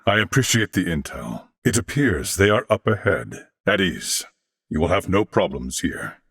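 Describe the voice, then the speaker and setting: A man voicing a courteous older alien warrior-scholar in a video game, speaking formally and eloquently with measured diction. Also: deep voice, very animated, a bit bright, no echo, studio quality, heavily acted. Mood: composed, commanding. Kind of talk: video game character voice lines.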